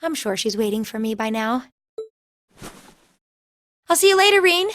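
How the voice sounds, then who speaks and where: A woman's voice: fairly high pitch, sing-song, normal speed, fairly bright tone, a little hiss or hum. An actress playing a shy, kindly teenage girl student in a video game, polite and hesitant. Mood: gentle. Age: young adult. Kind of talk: English anime-style game voice acting.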